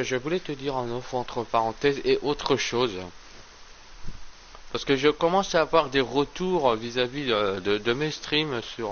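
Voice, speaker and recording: A man streaming a game while talking casually, rambling and stammering through repeated words, with a slight hoarseness from a cold. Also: medium pitch, fairly bright tone, a little hiss or hum. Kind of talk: casual let's play commentary. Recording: slightly dull lo-fi stream audio.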